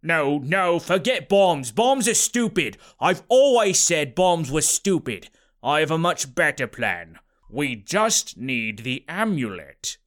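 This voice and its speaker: A man's voice: a little high, big pitch swings, slow, fairly bright tone, pitched below the performer's own voice, full character voice. A man voicing a scheming, pompous professor villain in a storybook, with a dramatic, conspiratorial delivery. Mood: agitated.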